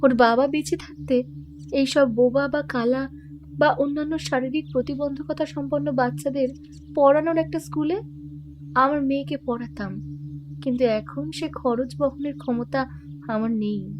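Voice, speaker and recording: A woman's voice: sits high, steady tone, unhurried, pauses often, muffled recording, background noise. A woman playing a meek, grieving young widowed mother in an audio detective drama, speaking in a subdued, plaintive, hesitant way. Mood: ashamed, sad.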